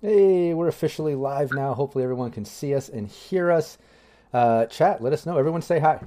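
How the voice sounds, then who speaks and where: A man's voice: on the high side, a little fast, dark tone, slight background noise. A man hosting a live tabletop game stream, talking casually with many fillers. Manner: chatty, loose, many fillers. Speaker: game master and stream host. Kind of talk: casual livestream chat.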